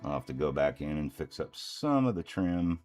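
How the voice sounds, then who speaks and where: A man with a very deep, low voice chats loosely as he paints at his hobby workspace. He rambles, laughs easily and keeps it casual, with faint music playing behind him. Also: normal speed. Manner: relaxed, rambling, chuckles often. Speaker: miniature painter and streamer. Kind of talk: casual livestream chat while painting.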